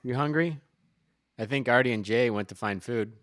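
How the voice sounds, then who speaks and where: Audio drama: male actor as loyal buddy, mid-pitched, casual and wry, close dry recording. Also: moderate pace, a bit bright, no room sound, close to an everyday voice. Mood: casual concern. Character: loyal, easygoing male friend, gently teasing. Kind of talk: naturalistic audio drama dialogue.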